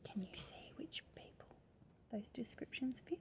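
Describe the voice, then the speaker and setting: A woman's voice: fairly high pitch, normal speed, whispered. A woman reading a picture book aloud as a bedtime story, stressing words expressively and putting questions to the young listener.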